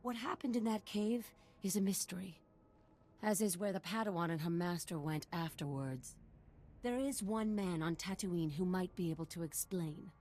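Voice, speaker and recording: A woman's voice: lowish voice, normal speed, mellow, natural voice. A woman voicing an eccentric, deferential desert guide in a video game, formal and mysterious as she tells her tale. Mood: reverent intrigue. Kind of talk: scripted video game acting.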